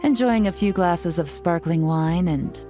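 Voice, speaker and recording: A woman's voice: fairly low pitch, a little slow, music in the background, close to an everyday voice. A woman playing a poised, teasing secret agent. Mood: relaxed. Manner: smooth, composed, lightly teasing. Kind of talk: game cutscene dub.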